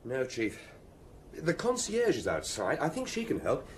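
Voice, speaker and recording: An actor playing a keen, respectful junior police detective in a radio drama, brisk and polite in delivery. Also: male voice, medium pitch, huge pitch swings, a little hiss or hum.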